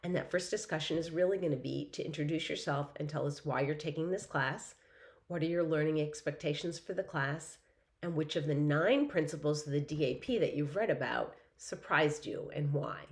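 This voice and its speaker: Woman prof recording her course intro, raspy from laryngitis, kinda gravelly, lots of ums. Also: low-pitched, normal speed. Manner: conversational, with frequent ums and restarts. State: hoarse from laryngitis. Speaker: college instructor. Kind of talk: recorded course welcome lecture.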